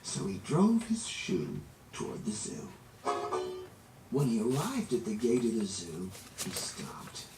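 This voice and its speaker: A man reading a children's story aloud in an expressive, dramatic way, drawing out words for emphasis. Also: mid-range voice, music in the background. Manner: animated, dramatic, drawn-out emphasis. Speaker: storybook reader. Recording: roomy, distant, noisy amateur recording.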